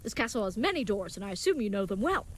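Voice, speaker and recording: A woman's voice: mid-range voice, sing-song, fast talker, a little hiss or hum, natural voice. A woman playing an earnest, naive and brave young leatherworker in an audio drama, speaking in formal, chivalrous, contraction-free phrases. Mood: earnest, reassuring. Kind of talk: scripted audio drama acting.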